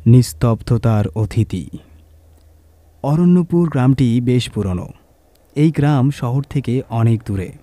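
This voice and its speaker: A man's voice: lowish voice, warm tone. A man reading a scripted horror story as narrator, in a dramatic storytelling style.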